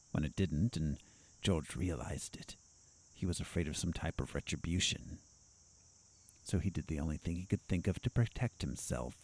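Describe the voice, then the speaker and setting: An actor playing a sardonic asylum doctor in an audio drama, probing and needling in a confrontational way. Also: male voice, low-pitched, darkish tone, heavily acted.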